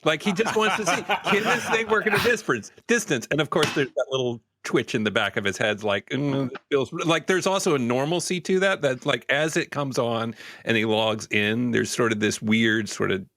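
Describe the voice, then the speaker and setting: A man's voice: medium pitch, moderate pace, few pauses, fairly bright tone. A man playing a tabletop game, casually narrating what his character does and thinks. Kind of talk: casual improvised third-person storytelling for a game character.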